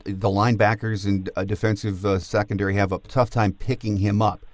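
A man's voice: on the low side, flat delivery, a little fast. An American man hosting a sports podcast, chatting conversationally with his guest and putting questions to them.

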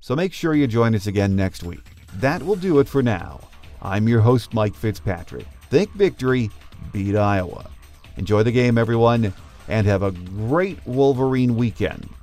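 A man's voice: mid-range voice, lively intonation, normal speed, a little mellow, soft background music. An American man hosting a sports podcast, moving between chat and scripted notes. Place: dry studio.

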